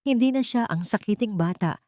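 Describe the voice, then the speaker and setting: A woman reading a dramatic first-person story as an audiobook narrator, measured and dramatic. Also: medium pitch, lively intonation, darkish tone, no echo.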